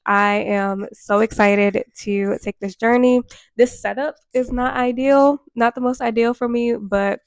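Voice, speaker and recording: A woman in her mid-twenties talks straight to camera, a bit nasal and worn out from a cold. Her delivery is earnest and chatty, full of fillers, and stays fairly flat in pitch. The recording is close and dry, with no room sound. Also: vocal fry, dry recording. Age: 20s. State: congested from a cold, tired. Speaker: vlogger. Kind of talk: casual talk to camera. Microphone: microphone.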